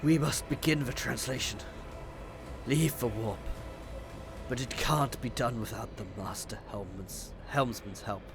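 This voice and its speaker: A man's voice: medium pitch, unhurried, creaky, music in the background. A man reading aloud the lines of a frantic male ship navigator, raising his voice urgently. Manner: urgent, strained pleading.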